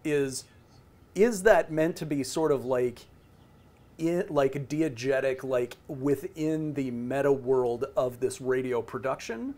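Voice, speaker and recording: A man's voice: medium pitch, slow pace, a little mellow. A middle-aged man hosting a casual interview over a group video call. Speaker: interview host.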